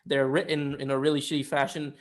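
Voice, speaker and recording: Opinionated man, slightly high-pitched voice. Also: little pitch movement, a little fast. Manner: opinionated, informal, swears. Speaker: film review podcast co-host. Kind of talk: casual film discussion.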